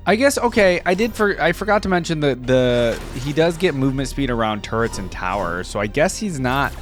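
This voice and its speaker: A man's voice: mid-range voice, very animated, brisk, faint music behind. A man hosting a reaction video with casual, informal commentary, thinking aloud as he goes.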